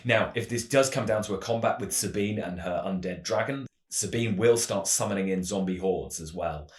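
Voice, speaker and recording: Male tabletop game presenter, low-pitched, measured explanatory storytelling, roomy echoing sound. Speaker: tabletop roleplaying game channel host. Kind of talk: semi-scripted explanatory narration.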